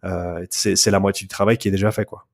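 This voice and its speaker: A man's voice: low voice, flat delivery, fast, creaky. A man speaking as a podcast guest about his coaching work, in a casual, hesitant way with many restarts. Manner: bilingual.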